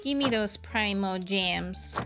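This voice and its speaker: A woman's voice: mid-range voice, slow, a bit bright. A young woman livestreaming a game, reacting and chatting casually as she plays. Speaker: streamer playing a game. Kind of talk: casual live gameplay reaction chat. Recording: game music behind the voice. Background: game music.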